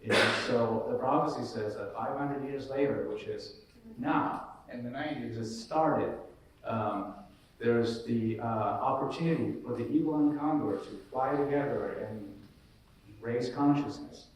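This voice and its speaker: A man's voice: mid-range voice, unhurried. Man from Colombia, echoey distant room. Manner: earnest, rambling, many fillers. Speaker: panelist. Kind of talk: casual panel discussion. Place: large echoey room, live event.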